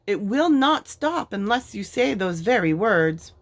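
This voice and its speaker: Woman voicing a kindly, measured storybook dragon. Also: mid-range voice, big pitch swings, pitched above the performer's own voice. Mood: gentle, earnest. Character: kindly gigantic male dragon.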